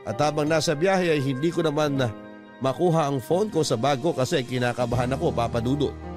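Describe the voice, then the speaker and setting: A man's voice: a little high, a little fast. A man giving scripted first-person narration as a radio drama host reading out a letter. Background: background music.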